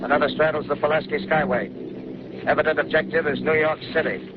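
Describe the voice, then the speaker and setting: A man's voice: fairly high pitch, steady tone, fast talker, lightly acted. A man acting a doomed army bomber pilot reporting by radio in clipped, terse phrases, on a dull, hissy 1930s radio broadcast. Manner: clipped terse military report, growing frantic. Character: male bomber pilot lieutenant, grim, doomed.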